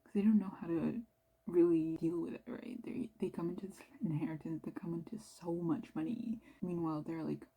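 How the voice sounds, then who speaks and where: A young Canadian woman with a low-ish voice, chatting quietly and rambling about her reading, with plenty of "like". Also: level tone, brisk, slightly rough, poor audio. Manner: talking quietly, near-whispered; rambling, filler-heavy with "like". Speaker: book vlogger. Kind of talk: casual chat.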